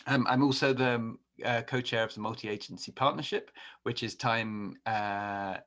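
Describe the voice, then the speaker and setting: A man's voice: medium pitch, steady tone, moderate pace, fairly bright tone. A middle-aged man from England with an English accent, giving a conversational talk on a webinar with many fillers and restarts. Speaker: podcast host and webinar presenter.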